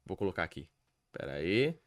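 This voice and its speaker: A man's voice: low voice, brisk, slight background noise. A Brazilian man with a Brazilian accent, hosting a podcast interview and talking emphatically to the audience. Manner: animated, emphatic, addresses the audience directly. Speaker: podcast host and English teacher. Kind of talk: casual interview chat. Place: podcast studio.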